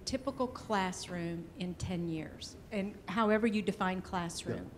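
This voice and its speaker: A woman's voice: on the low side, unhurried, a little hiss or hum. A woman interviewer asking casual, searching questions.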